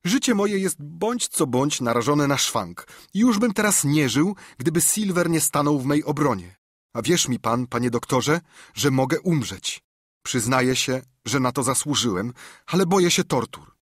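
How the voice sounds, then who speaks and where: Honourable teen boy, voiced by a man: pleading, husky, dry close audiobook read. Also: on the high side, sing-song, unhurried, a bit bright, dry recording, pitched above the performer's own voice, full character voice. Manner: pleading, stubborn. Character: frightened but honourable teenage boy. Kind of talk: audiobook character reading.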